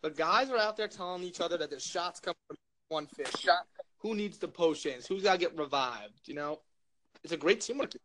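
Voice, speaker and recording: A young man talks in a loud, carrying voice that sits a little high for a guy. He rambles through jokey ideas, piling up fillers as he goes, over a faint background hiss. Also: animated, moderate pace, low-quality recording. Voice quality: loud, projecting voice. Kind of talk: casual chat.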